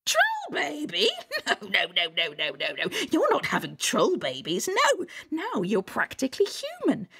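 A woman's voice: on the high side, huge pitch swings, measured pace, vocal fry, pushed and bright, breathy edge, exaggerated voice. A woman voicing a small, ugly old troll mother who is doting, bossy and coaxing in a storybook tale. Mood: amused, insistent.

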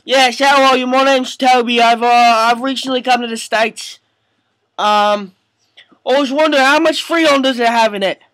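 A man's voice: extremely high pitch, fairly even intonation, normal speed, a bit bright, no echo. A man voicing a pushy, hesitant British immigrant on a prank phone call, with a put-on accent and wheedling, rambling manner. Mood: pushy, indignant.

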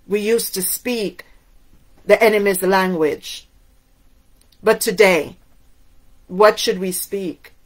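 Slow, emphatic woman preaching to camera. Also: medium pitch, rough recording. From Cuba. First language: Spanish. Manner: emphatic, rhetorical questions, drawn-out pauses. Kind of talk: sermon to camera. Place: indoors in front of a waterfall backdrop.

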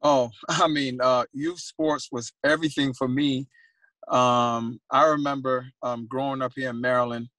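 A man's voice: medium pitch, steady tone, a little slow, a bit bright, no room sound, rough recording. A man from Ghana who coaches soccer, talking from a car into a phone held at arm's length, with easy laughs and filler words. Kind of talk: panel discussion. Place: car interior.

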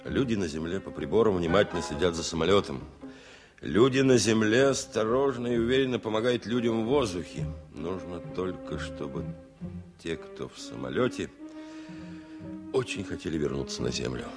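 An actor playing a stern, stoical airliner captain, commanding and terse, with some weariness, in a Soviet radio play. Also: male voice, sits low, moderate pace, music in the background, close to an everyday voice. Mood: solemn reflection.

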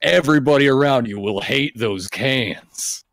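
A man's voice: mid-range voice, unhurried, fairly bright tone. A man reading aloud on a podcast, adding joking asides. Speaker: podcast reader.

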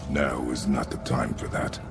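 Casting: solemn game warrior dad, extremely deep and gravelly, speaks in terse orders. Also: male voice, level tone, moderate pace, loud music behind the voice. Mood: guarded, stern. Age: middle-aged. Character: stern, taciturn warrior father. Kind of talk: video game voice acting. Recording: noisy game ambience behind voice.

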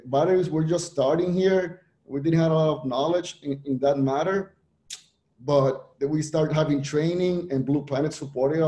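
A man from Puerto Rico presenting on a virtual panel, his speech halting and full of restarts. His voice sits a touch high but sounds mellow. The room around him is echoey. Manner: halting, many restarts and fillers.

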